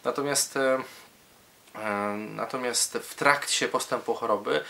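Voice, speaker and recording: A man's voice: mid-range voice, a little slow, gravelly, pushed and bright. A man speaking as a medical specialist in an interview, explaining hesitantly and often repeating words as he searches for phrasing.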